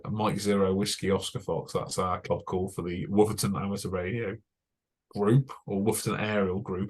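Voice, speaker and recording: A young British man with a fairly low voice talks a club audience through his engineering work. He is chatty and technical, full of "uh" fillers, and drops dry jokes along the way. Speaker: broadcast engineer giving a club talk. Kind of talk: casual presentation over slides.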